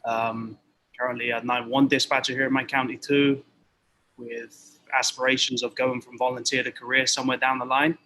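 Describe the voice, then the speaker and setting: A man's voice: medium pitch, flat delivery, normal speed, pushed and bright, low-quality recording. A man with an English accent, chatting casually as a guest on a live show over a video call. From England. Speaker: volunteer fire captain and guest. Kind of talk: casual podcast chat.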